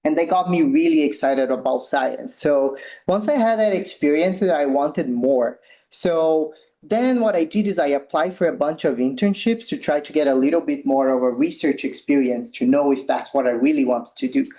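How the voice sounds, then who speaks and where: A man's voice: fairly high pitch, normal speed, warm tone. Brazilian-accented young man giving a talk. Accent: Brazilian Portuguese. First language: Portuguese. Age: young adult. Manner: frequent ums and uhs, loose non-native phrasing. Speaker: postdoctoral researcher giving a talk. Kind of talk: informal science presentation.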